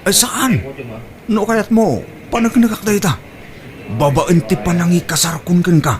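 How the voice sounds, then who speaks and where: A woman playing an eager, fickle young man with a hesitant, excitable manner in an Ilocano comedy drama. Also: lowish voice, normal speed, a crowd in the background, natural voice. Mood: pleading. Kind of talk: Ilocano radio-style comedy drama acting.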